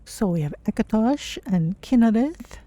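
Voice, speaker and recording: A woman voicing a polite, curious female cat-folk adventurer in a game stream, speaking in measured, formal phrases. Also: a little high, lively intonation, warm tone. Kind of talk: in-character game playthrough commentary.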